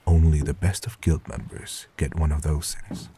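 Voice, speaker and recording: A man acting a relaxed, encouraging monster-hunting guild member in an audio drama, casual and approving. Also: very deep voice, moderate pace, gravelly, husky, exaggerated voice. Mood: pleased, congratulatory.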